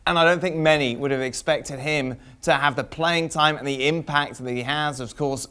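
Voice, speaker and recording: A man's voice: a little high, a bit bright. A man hosting a sports studio show, presenting and putting questions to his guests. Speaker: host.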